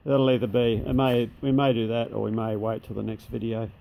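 A man's voice: mid-range voice, little pitch movement, a little fast, vocal fry, dark tone, slight background noise. An Australian man who runs a machine shop, giving a casual, matter-of-fact walkthrough in a large indoor workshop shed. He speaks plainly, uses local slang, and is recorded on a handheld camera mic.

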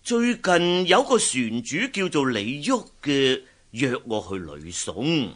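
An actor playing an ageing, fussy merchant uncle in a Cantonese radio drama with a Hong Kong accent, full of sighs and interjections. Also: male voice, mid-range voice, big pitch swings, slow pace, a bit bright, exaggerated voice. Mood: explaining, fond.

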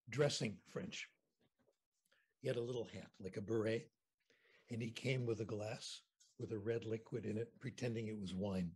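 An older American man, a guest on a video call interview, telling anecdotes in an animated, conversational way from a home room. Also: medium pitch, breathy edge. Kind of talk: storytelling lecture on a video call. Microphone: video call webcam.

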